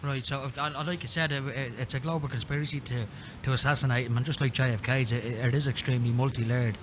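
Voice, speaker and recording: A man with an Irish accent hosting a radio interview in an informal, conversational way, with hesitant restarts, over a noisy line with low rumble. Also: fairly even intonation, fast. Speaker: radio show host.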